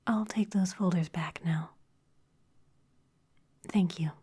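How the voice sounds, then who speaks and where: A woman voicing a sly, intimidating female vampire executive in a close, breathy ASMR whisper, polished and teasing. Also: lowish voice, warm tone. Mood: composed. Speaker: ASMR performer.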